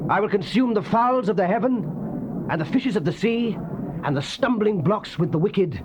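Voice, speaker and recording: An actor playing a fiery prophet proclaiming God's wrath in solemn, archaic, declamatory scripture style. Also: male voice, sits high, lively intonation, moderate pace. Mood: wrathful, proclaiming. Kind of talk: dramatized scripture reading, archaic King James English. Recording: muffled, noisy old cassette tape.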